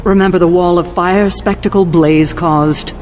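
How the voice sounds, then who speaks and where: An actor playing a stern, protective male leader in a video game, crisp, formal and easily indignant. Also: high voice, fairly even intonation, moderate pace, darkish tone, slight background noise, close to an everyday voice. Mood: defensive. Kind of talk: video game cutscene acting.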